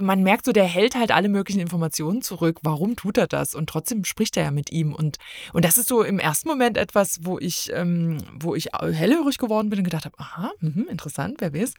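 Female guest reviewer, lowish pitch, informal, feels her way through sentences, very dry recording. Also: lively intonation, fairly quick, a little mellow, dry recording. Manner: thinking aloud, searching for words. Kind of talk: casual review chat.

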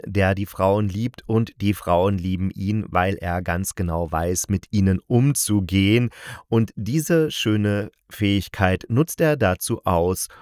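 A man's voice: fairly low pitch, a little mellow, no echo, clean studio sound. A man, a podcast host casually reviewing radio plays in a rambling, playful, colloquial way.

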